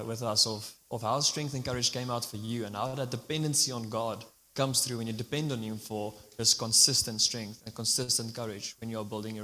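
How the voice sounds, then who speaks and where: A man leading a church gathering, asking a speaker to share her story in a quick, encouraging way. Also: medium pitch, bright, forceful tone. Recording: long reverb of a church hall.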